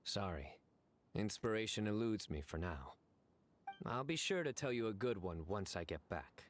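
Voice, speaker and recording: A man voicing a grave, confident law enforcer in an anime video game, speaking tersely with measured, deadpan delivery. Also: fairly low pitch, a little hiss or hum, natural voice. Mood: calm, confident. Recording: game music behind.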